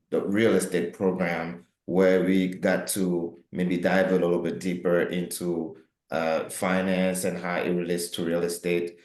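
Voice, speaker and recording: A very low, flat-voiced man from Cameroon tells his business story and stops often to restart his phrases. His voice rings in a roomy, echoing space. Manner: hesitant, many fillers and restarts. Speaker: co-founder of a housing firm. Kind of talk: interview answer.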